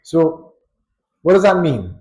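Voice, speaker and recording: A man with a Trinidadian accent, a psychologist giving a thoughtful, unhurried educational talk with pauses and light chuckles. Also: on the high side, big pitch swings, mellow. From Trinidad and Tobago. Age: adult.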